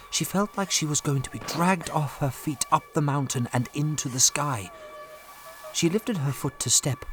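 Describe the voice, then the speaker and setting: A man narrating a story dramatically, building suspense with short weighted phrases and pauses. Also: medium pitch, background noise. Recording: loud storm ambience behind narration.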